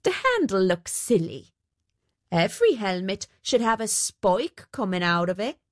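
A woman's voice: mid-range voice, big pitch swings, measured pace, no room sound. A woman voicing a sceptical, dry-witted housekeeper in a storybook, plain-spoken and teasing. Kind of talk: storybook character voice.

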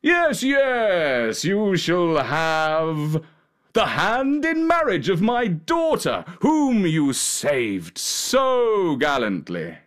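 A man voicing a pompous, emotional storybook king who speaks in grand, drawn-out exclamations. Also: high-pitched, wildly swooping pitch, fairly bright tone, voice pushed up, full character voice. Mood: gracious. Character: pompous, emotional old-fashioned male king.

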